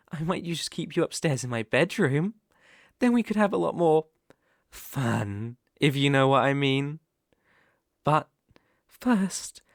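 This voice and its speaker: ASMR roleplay: male actor for a possessive British yandere captor boyfriend, unhurried, mid-pitched, coaxing yet threatening, intimately dry recording. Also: no room sound. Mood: doting, controlling. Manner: slow, cooing endearments turning to controlled threats.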